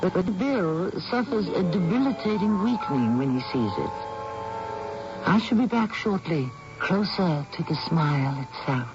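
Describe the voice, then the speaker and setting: Music plays behind a male radio mystery host on a dull old broadcast recording. His voice is high for a man, and his scripted narration is suspenseful and theatrical, with deliberate pauses. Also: sing-song. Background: clear music.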